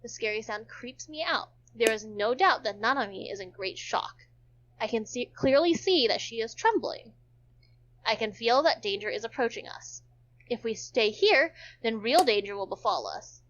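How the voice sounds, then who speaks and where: A woman with a fairly high voice narrates a visual novel as an amateur reader. She now and then trips on a word and goes back over it. The sound is dull and lo-fi. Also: lively intonation, normal speed. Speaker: narrator in a group read-along.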